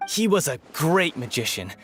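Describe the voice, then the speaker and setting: An actor playing a boastful, excitable gossiping townsman in a fantasy video game, emphatic and self-assured. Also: fairly high pitch, moderate pace, soft background music. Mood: enthusiastic. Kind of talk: English video game voice acting.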